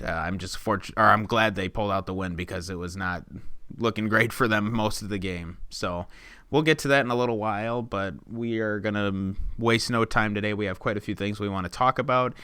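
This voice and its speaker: A man's voice: fairly low pitch, sharp tone, clean tone, no echo, clean studio sound. A young man co-hosting a podcast, talking steadily and at length, with filler words along the way. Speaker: podcast co-host. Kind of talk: casual chat.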